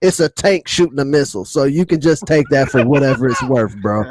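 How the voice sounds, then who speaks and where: A man's voice: mid-range voice, brisk, a little mellow, a little hiss or hum. A man co-hosting a casual podcast, slangy and emphatic, often repeating his points. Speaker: podcast co-host.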